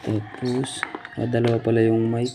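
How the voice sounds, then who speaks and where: A man with a Filipino accent casually showing items in an unboxing vlog, haltingly searching for words. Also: medium pitch, monotone. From Philippines. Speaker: DIY and motovlog host. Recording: clearly noisy home-made vlog audio.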